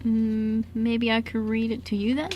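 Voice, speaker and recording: A woman's voice: a little high, level tone, measured pace, warm tone, lightly acted. A woman playing a bookish, shy six-year-old boy who stammers a little in an amateur radio drama. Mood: keen. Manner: hesitant, stammering, boyish. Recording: noisy amateur radio recording.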